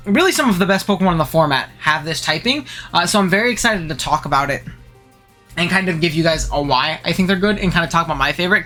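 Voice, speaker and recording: Man, fast-paced gamer chat, sniffly cold, faint music underneath. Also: high-pitched, sharp tone. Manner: fast, chatty, enthusiastic gamer talk with fillers. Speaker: channel host. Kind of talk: casual analysis commentary.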